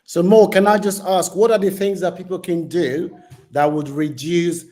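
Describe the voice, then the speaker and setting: A man's voice: sits high, fairly even intonation, normal speed, dark tone, soft background music. A man presenting and asking questions as an interviewer, in an earnest informational style about everyday matters.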